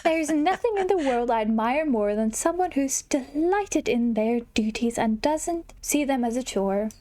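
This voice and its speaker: A woman voicing a kindly, forgetful elderly fairy godmother in a video game, gentle and hesitant, fussing as she goes. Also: sits high, lively intonation, a little slow. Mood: warm, trusting.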